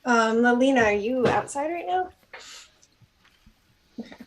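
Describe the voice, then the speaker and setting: A woman's voice: on the high side, expressive, a little slow, low-quality recording. A woman playing a tabletop game, asking questions and describing her character in casual, tentative talk, away from the microphone.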